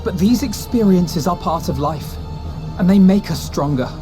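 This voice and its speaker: High-voiced, steadfast young hero. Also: male voice, animated, moderate pace. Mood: stirring resolve. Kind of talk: scripted video game cutscene acting. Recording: game music under the voice.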